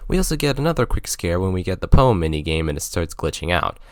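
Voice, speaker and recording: A man's voice: sits low, big pitch swings, fast, no room sound, studio quality. A man narrating a scripted video game review, with sarcastic, joking asides.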